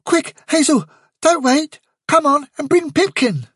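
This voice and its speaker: A man voicing a small, nervous young rabbit who urges others on in excited bursts. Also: very high voice, a little slow, no echo, clean studio sound, voice pushed up, full character voice. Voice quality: excited, breathless urging.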